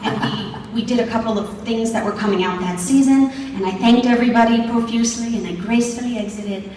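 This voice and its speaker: A woman tells a casual story on a convention stage, in an animated way. Her voice is fairly high. It is picked up from far away in a reverberant, slightly noisy hall. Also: fast. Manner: animated storyteller quoting others. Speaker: voice actor, panel guest. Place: large expo stage.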